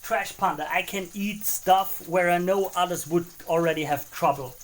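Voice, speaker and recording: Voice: medium pitch, normal speed. Chatty cooking streamer. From Austria. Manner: chatty, greets and answers viewers between cooking remarks. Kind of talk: casual live-stream chat while cooking.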